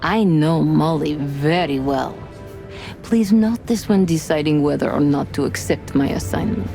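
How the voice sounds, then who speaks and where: An actress playing a composed, commanding mistress in a noir game, voicing her letter in a formal, deliberate manner. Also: low voice, creaky, over music. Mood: cool. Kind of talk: film-noir game voice-over.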